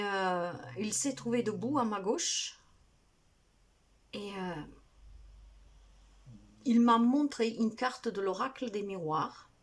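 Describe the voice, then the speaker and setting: A woman spiritual healer speaking to her viewers in a soft, earnest, halting way, full of fillers, and sounding tired. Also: mid-range voice. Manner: hesitant, halting, many fillers. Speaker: spiritual healer and card reader. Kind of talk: casual monologue to viewers.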